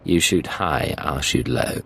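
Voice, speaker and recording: An actor playing a cool, composed imperial secret agent in a video game, speaking in clipped understatement. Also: male voice, extremely low pitch, slow pace, vocal fry, a bit bright, slight background noise, heavily acted. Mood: cool, dry. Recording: game music behind the voice.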